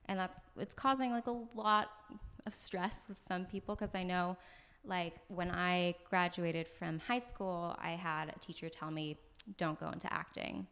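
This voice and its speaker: Young American actress, podcast guest, slightly low voice, animated and laughing, casual. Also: female voice, unhurried, smooth. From United States. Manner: animated, laughs often, talks in bursts with many "like"s. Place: floor of an apartment on moving day.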